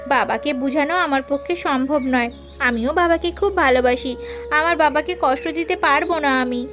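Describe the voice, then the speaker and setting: A woman playing a wistful, dutiful young unmarried daughter in an animated folk tale, plaintive and gentle in manner. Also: high voice, moderate pace, lightly acted. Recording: music behind the voice.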